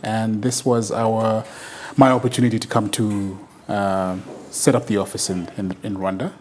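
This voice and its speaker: A man's voice: on the low side, little pitch movement, moderate pace, a little hiss or hum. A man from Zimbabwe, a company's country manager, answering interview questions in a hesitant way with frequent fillers and restarts.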